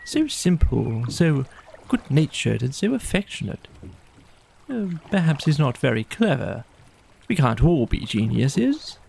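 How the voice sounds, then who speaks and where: A man's voice: mid-range voice, big pitch swings, measured pace, creaky, darkish tone, slight background noise. A man voicing a cheerful, good-natured storybook water rat with a breezy, chatty and enthusiastic manner. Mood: fond, chatty. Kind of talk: storybook character voice in an audio play.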